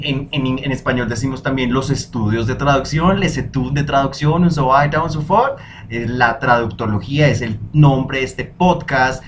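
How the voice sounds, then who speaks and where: A young man hosting a solo podcast, talking in a lively, emphatic way and dropping in foreign phrases. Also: mid-range voice, a little hiss or hum. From Colombia. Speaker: podcast host.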